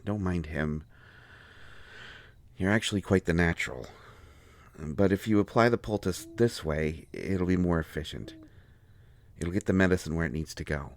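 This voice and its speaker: A man playing an injured bookish fellow in an audio roleplay, cranky yet gentle, with halting, fussy wording and a pained delivery. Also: sits low. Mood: soft, apologetic.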